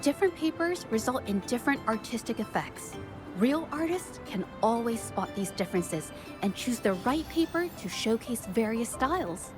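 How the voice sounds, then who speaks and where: An actress voicing a gentle, earnest young woman painter in a video game, speaking thoughtfully and politely. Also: on the high side, lively intonation, moderate pace, over music, natural voice. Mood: earnest, keen. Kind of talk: English video game voice acting.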